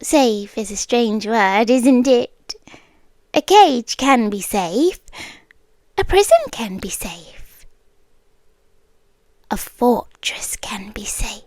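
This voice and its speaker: A high, very slow British female voice, close and dry, a woman acting an inhuman desert queen in an ASMR roleplay. She is possessive and softly menacing, slipping between hushed speech and whispers with deliberate pauses. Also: animated, no room sound. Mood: soft possessive menace.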